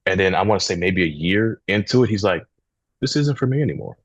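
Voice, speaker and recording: Deep raspy guy in his 30s from st louis, punchy and animated, dry close sound. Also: quick pace, no room sound. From St. Louis, Missouri. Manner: emphatic, animated storyteller, swears casually. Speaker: podcast guest. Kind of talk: casual podcast conversation.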